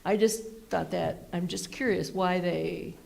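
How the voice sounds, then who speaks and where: A woman resident speaking loudly and directly at a public planning meeting, with a gravelly voice. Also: on the low side, steady tone, measured pace. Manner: loud, direct, chatty. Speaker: local resident commenting. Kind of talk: public comment at open house.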